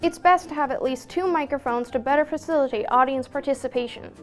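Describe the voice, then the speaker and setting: A young woman talks clearly and plainly into a handheld microphone. Her voice sits on the higher side. Faint music plays behind her. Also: fast talker. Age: young adult. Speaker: interviewee and presenter. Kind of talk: testimonial and scripted tips. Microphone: handheld mic.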